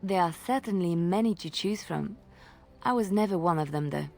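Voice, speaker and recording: Gentle devout minstrel sister. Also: female voice, on the low side, moderate pace, gravelly, soft background music. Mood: teasing, coy. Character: devout, playful, secretive young female lay sister and former minstrel. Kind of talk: fantasy game voice acting, storytelling.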